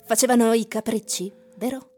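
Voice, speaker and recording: An actress playing a mysterious, alluring and wilful woman in a radio drama, with languid, drawn-out phrasing. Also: on the high side, steady tone, a little mellow, a little hiss or hum, lightly acted. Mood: coy.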